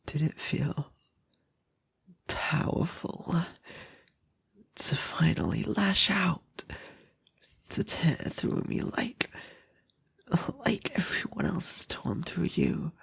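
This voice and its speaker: Man acting a husky, slow, obsessive yandere kidnapper. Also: fairly high pitch, big pitch swings, a bit bright, dry recording, heavily acted. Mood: breathless awe. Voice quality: husky, breathy, often whispering. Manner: slow, halting, intimate, doting yet threatening. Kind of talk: ASMR roleplay voice acting.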